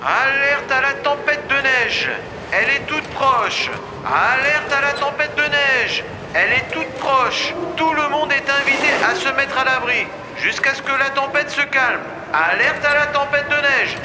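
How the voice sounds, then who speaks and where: A man's voice: extremely high pitch, measured pace. A man voicing an urgent, official cartoon announcer who repeats a public warning, with noise behind him. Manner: repetitive, official.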